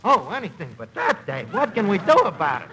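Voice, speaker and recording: A man's voice: sits high, huge pitch swings, a little fast, sharp tone, exaggerated voice. An actor playing a gullible, good-hearted, earnest New York everyman with a slangy accent in old-time radio comedy. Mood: anxiously helpful. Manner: naive, earnest, awestruck. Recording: noisy old radio broadcast.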